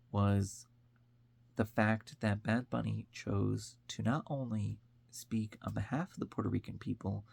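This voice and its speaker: The American man you would find on a commentary vlog, earnestly talking through his opinions in a raspy voice full of fry. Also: on the low side, a little mellow. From upstate New York, United States. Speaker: online commentator. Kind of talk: informal opinion monologue.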